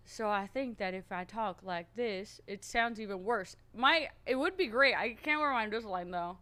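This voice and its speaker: A woman streamer chatting loudly and nervously to her viewers, thinking out loud and swearing freely. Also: a little high, lively intonation, moderate pace. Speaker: streamer playing a roleplay character. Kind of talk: casual chat to stream viewers.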